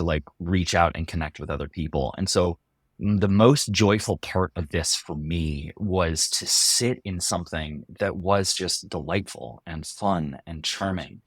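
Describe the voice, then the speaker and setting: Male, deep voice, dry sound. Candid interviewee, halting and thoughtful delivery. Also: dry recording. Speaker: voice actor and comedy performer.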